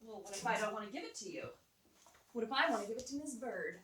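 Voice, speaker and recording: A woman's voice: fairly high pitch, animated, fast talker, bright, forceful tone. A woman voicing an earnest, naive young child in a puppet show, hopeful, insistent and pleading. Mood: defiant. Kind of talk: live puppet show acting. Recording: echoey room, distant mic, noisy.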